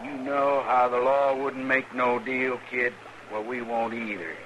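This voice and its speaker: An actor playing a cold, taunting outlaw gang leader with a folksy drawl in an old-time radio Western. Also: male voice, mid-range voice, level tone, a little slow, natural voice. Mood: cold threat. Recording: noisy old radio drama recording.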